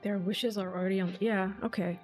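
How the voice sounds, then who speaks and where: A woman's voice: medium pitch, steady tone, brisk, darkish tone. A woman streamer giving casual, sceptical commentary on a game, sardonic and free with swearing. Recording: game music behind the voice.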